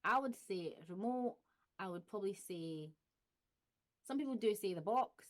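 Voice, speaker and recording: A woman's voice: mid-range voice, animated, moderate pace. A woman with a Glaswegian accent chatting casually on her channel, laughing often and playing with example phrases. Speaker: YouTuber. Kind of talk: casual vlog chat.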